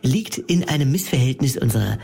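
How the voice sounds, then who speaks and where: An actor playing a hesitant group coach in a radio comedy sketch, hemming and spouting jargon. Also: male voice, mid-range voice, expressive, quick pace, gravelly, a little mellow, breathy edge. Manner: hesitant, full of filler sounds.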